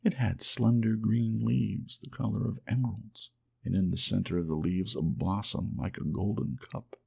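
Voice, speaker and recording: A man softly and expressively narrating a children's storybook as an ASMR audiobook. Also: fairly low pitch, fairly even intonation, moderate pace, vocal fry, breathy edge.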